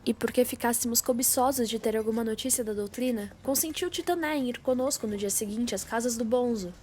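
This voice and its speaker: Casting: young Brazilian female narrator, scripted classic-story reading, higher-than-average voice, lightly noisy amateur recording. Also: fairly quick, mellow. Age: teenager or young adult. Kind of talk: scripted literary narration for student radio drama. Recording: faint background noise.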